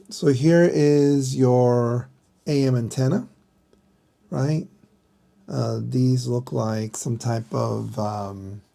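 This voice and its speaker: A middle-aged man talks through a hands-on demonstration at a slow pace. His voice is relaxed and mellow with plenty of creak, and he speaks haltingly, thinking aloud as he goes. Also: mid-range voice. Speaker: vlogger showing a vintage radio. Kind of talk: casual hands-on explainer.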